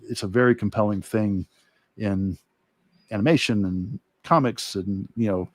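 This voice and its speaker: A man who teaches art, talking casually and thinking aloud in a halting way during a livestream demo. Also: lowish voice, dry recording. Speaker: art instructor and illustrator.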